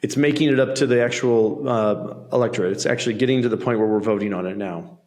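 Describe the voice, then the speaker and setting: A male podcast host who affirms his guest and sums up each point in his own words before moving on. His voice sits at a middle pitch and he talks in an easy conversational way. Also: fast, a little mellow. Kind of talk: podcast interview.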